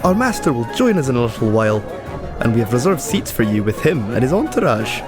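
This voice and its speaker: A man acts a deferential manor servant in an audio drama. His mid-pitched voice rises and falls in lively, polite, formal phrases over clear music. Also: fast, close to an everyday voice. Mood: courteous. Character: courteous, deferential male servant of a lord.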